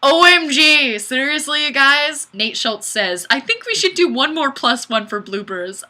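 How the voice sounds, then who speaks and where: A woman hosting a casual live stream, chatting and reading comments in a goofy, animated, exclamatory way. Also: high-pitched, unhurried. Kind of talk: casual chat, reading viewer comments aloud.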